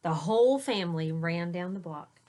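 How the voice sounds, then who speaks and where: A woman teacher reading a picture book aloud to children, pausing for simple explanatory asides. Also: on the low side, lively intonation, mellow.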